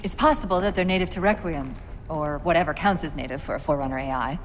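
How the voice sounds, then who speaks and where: A woman playing a quick-witted, emotional AI companion in a video game cutscene. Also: sits low, slight background noise, close to an everyday voice. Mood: alert, analytical.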